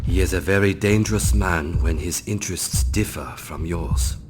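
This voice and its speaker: A very deep male voice over clear game music, an actor as a powerful Japanese businessman. He is suave and measured, his courtesy edged with quiet menace. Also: close to an everyday voice. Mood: courteous warning. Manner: courteous, measured, veiled warning. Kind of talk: video game cutscene acting.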